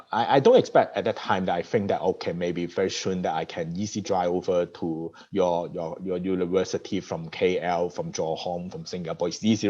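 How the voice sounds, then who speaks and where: Hong Kong-accented male guest speaker, low voice, lo-fi call audio. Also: dark tone. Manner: repetitive restarts, non-native grammar. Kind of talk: conference talk over video call. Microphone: video call. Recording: dull lo-fi video call audio.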